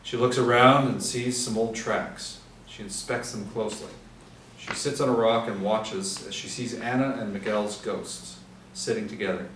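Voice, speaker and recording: A man's voice: lowish voice, fairly even intonation, normal speed, fairly bright tone. A man reading out scripted screenplay action and stage directions as the narrator. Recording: echoey room, distant mic, faint noise.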